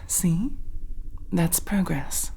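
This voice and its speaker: A woman playing a gentle female alien crewmate and lover in an intimate ASMR roleplay, speaking softly and hesitantly, often in a whisper. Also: fairly low pitch, full character voice. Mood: tender, reflective. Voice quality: soft, breathy, often whispering. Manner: hesitant, halting, tender.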